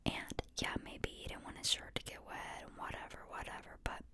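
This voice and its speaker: Whispering woman, breathy ASMR ramble. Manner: earnest, informal, self-questioning. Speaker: host sharing reflections on a psychology book.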